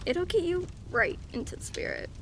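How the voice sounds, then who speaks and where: A woman's voice: extremely high pitch, lively intonation, moderate pace, vocal fry, noisy background, exaggerated voice. A woman playing a spirited, tender young daughter of a detective in an audio drama. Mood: playful.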